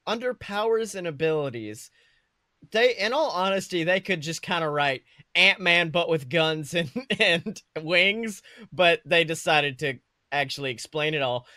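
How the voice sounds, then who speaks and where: A man co-hosting a casual movie review chat, talking in an excitable, slangy way with casual swearing. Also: high voice, no echo, clean studio sound. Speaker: podcast co-host.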